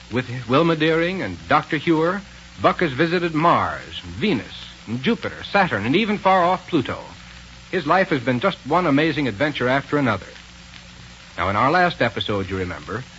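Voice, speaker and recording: A man's voice: medium pitch, fairly bright tone. A dull, faintly hissy old radio recording of a male announcer. He narrates in theatrical 1930s serial style with swooping intonation, speaking straight to the listeners. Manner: theatrical, addresses the listeners directly.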